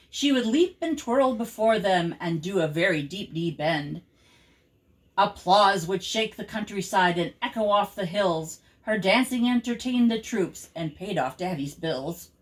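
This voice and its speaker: A woman's voice: medium pitch, poor audio. Older woman reading rhyming children's verse. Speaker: grandmother reading stories to children.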